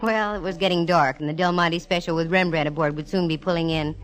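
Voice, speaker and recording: A woman's voice: fairly low pitch, fairly even intonation, fast talker, natural voice, low-quality recording. An actress playing a sassy, wisecracking female private eye, with wry, punchy delivery in 1940s radio style. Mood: wry narration. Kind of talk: 1940s radio detective acting and narration. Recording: vintage radio broadcast with music behind.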